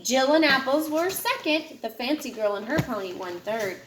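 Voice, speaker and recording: Woman, fairly high voice, expressive storybook read-aloud, roomy echo. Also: moderate pace, background noise. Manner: expressive, puts feeling into the dialogue. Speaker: reader of a children's picture book.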